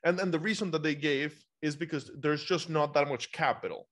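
A man's voice: on the high side, fairly quick, a bit bright. A man in his thirties from Costa Rica hosting a casual interview over a video call. He is chatty and often repeats words as he starts his sentences. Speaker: podcast host. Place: bright home room. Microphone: video call with over-ear headphones.

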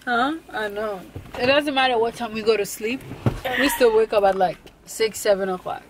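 A young woman chatting on a casual vlog, her voice slightly high and easily excited. She squeals over small wins. The handheld camera audio carries steady noise behind her. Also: moderate pace. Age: young adult. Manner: excitable, squeals when winning. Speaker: vlogger. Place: bowling alley, then car and bedroom.

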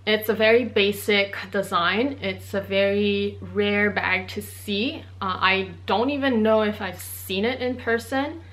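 A woman's voice: mid-range voice, steady tone, a little slow, hardly any pauses, fairly bright tone. A woman in her thirties, a native Cantonese speaker, chatting casually and explaining things to viewers as a vlog host in a room at home. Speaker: fashion vlog host answering viewer questions. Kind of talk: casual chat to camera. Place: small room at home, indoors.

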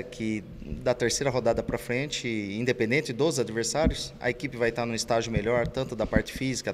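A middle-aged man, a football coach, giving a post-training interview into a handheld mic outdoors, speaking in a measured, hesitant way. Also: on the low side, in a large hall, a little hiss or hum. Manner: measured, hesitant, many tag questions. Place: outdoor press backdrop at a stadium. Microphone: handheld reporter mic.

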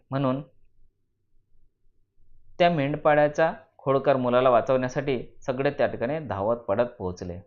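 A man narrating a moral story for students in deliberate phrases with dramatic emphasis. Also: medium pitch, dull audio.

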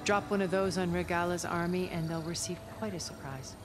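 An actress playing a cool, aloof, imperious woman in a cinematic video game, measured and condescending. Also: fairly low pitch, fairly even intonation, loud music behind the voice, lightly acted.

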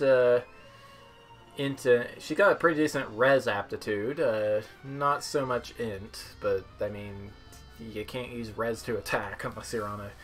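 A young man giving casual, offhand gameplay commentary as he demos game characters, with a hesitant, halting delivery. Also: medium pitch, fairly bright tone. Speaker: gamer demoing video game characters. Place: indoor room at home, seated. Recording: game music behind the voice. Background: video game music.

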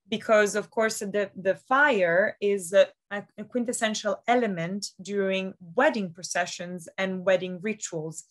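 A woman's voice: medium pitch, a bit bright. A woman in her thirties, an auction house specialist, talking with enthusiasm and many fillers on a video call from a study at home. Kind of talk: expert explaining art in a video call interview. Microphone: video call webcam.

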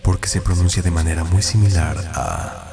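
A man narrating a scripted creepy countdown in an ominous, deliberate way. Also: low-pitched, fairly even intonation, over music. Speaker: narrator of a video game countdown.

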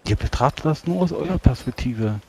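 A man playing a solemn, measured priest in a tabletop game, speaking formally and archaically as he counsels a comrade. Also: medium pitch, animated, vocal fry, dark tone, slightly rough. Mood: earnest, exhorting. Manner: formal archaic speech, preachy, deliberate. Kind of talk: tabletop roleplay in character.

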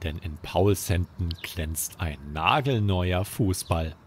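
Bedtime story host: male, slightly low pitch, slow scripted narration for children. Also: slight background noise.

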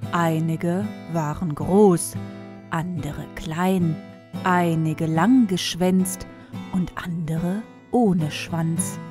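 A woman reading a fairy tale as a scripted storyteller. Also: on the low side.

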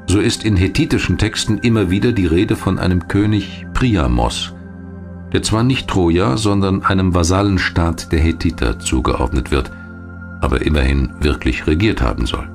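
A man narrating a scripted history documentary in a measured, articulate storytelling manner. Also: deep voice. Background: music.

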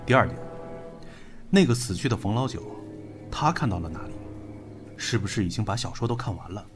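A male actor plays a young man coolly picking apart a mystery in an audio drama. His voice sits low, with a methodical, commanding and brusque delivery. Faint music runs underneath. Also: animated, fairly quick, close to an everyday voice. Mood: grave, analytical. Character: brusque, analytical young man investigating a cursed book. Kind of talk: Chinese audio drama acting.

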